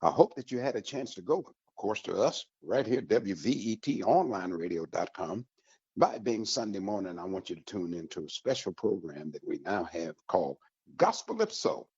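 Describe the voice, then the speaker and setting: A man hosting a radio program, giving announcements in a deliberate, emphatic way with a husky voice. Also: low voice, big pitch swings, darkish tone, dry recording. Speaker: radio host. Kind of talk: promotional radio talk with announcements. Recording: dull lo-fi radio recording.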